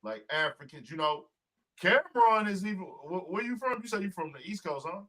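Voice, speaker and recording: A man hosting a podcast in casual chat, talking in a profane, emphatic, animated way. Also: sits high, brisk, crowd noise, poor audio. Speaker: podcast host.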